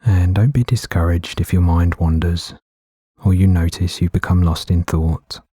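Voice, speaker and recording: A man gently guiding a meditation in a soothing, unhurried way with long pauses between phrases. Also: sits low, steady tone, slightly rough, dry recording, clean studio sound. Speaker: meditation guide. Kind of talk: guided meditation narration. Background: background music.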